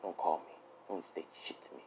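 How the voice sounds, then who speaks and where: A man's voice: a little fast, mellow, a little hiss or hum, natural voice. A man voicing an enraged, betrayed teenage superhero student who spits profane, menacing insults in an improvised story. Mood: cold, menacing.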